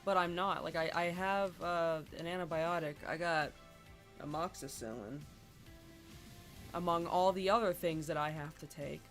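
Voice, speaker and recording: A live streamer chatting casually while playing a building game, sounding congested, hesitant with many ums and restarts, and clearing the throat often. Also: medium pitch, normal speed, a bit bright. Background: game music.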